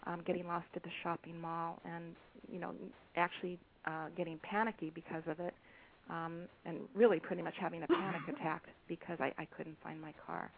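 A soft-spoken woman, an author, being interviewed over the phone, speaking hesitantly with many pauses and ums. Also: fairly low pitch, flat delivery. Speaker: author and guest. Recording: tinny phone line with faint hiss.

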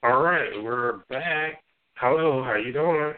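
A man hosting an internet radio show, asking a guest questions in a relaxed, conversational way. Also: medium pitch, takes it slowly, slight background noise. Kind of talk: casual talk radio interview. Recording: dry, tinny phone-like sound.

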